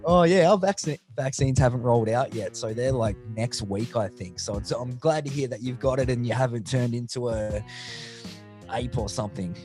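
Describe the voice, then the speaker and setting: A man's voice: mid-range voice, moderate pace, darkish tone, faint music behind. A man hosting a casual interview over a video call, upbeat and chatty with stock phrases. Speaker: interview host.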